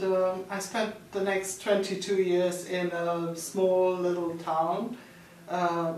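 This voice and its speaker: A woman's voice: on the low side, darkish tone, slight background noise. Woman from Romania, researcher, factual interview answers, distant mic. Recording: echoey room, distant mic.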